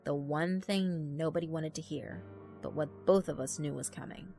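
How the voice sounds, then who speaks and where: A woman giving a grave, deliberate, dramatic reading of a horror story as narrator. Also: deep voice, steady tone, gravelly, mellow. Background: background music.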